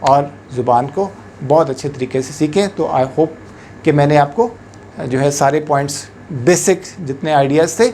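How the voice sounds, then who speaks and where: A man's voice: fairly high pitch, dark tone, a little hiss or hum. A man from Pakistan, an English teacher giving a patient instructional talk and switching often into English. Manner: measured, patient explaining, switching often into English words and phrases.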